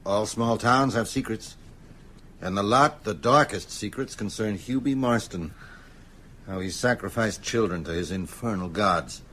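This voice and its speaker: An actor playing an older, learned schoolteacher in a radio drama, grave and anxious, speaking with earnest, deliberate phrasing. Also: male voice, on the low side, bright, forceful tone, a little hiss or hum, low-quality recording. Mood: grave, worried. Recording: music under the voice.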